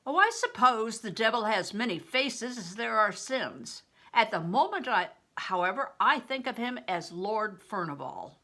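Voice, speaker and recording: A big, blunt, fatherly traveling player from a storybook, read by an older woman. He speaks heartily and emphatically in old-fashioned phrases. The voice is bright, with wide pitch swings and deliberate pacing. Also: animated, exaggerated voice. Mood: grave, emphatic.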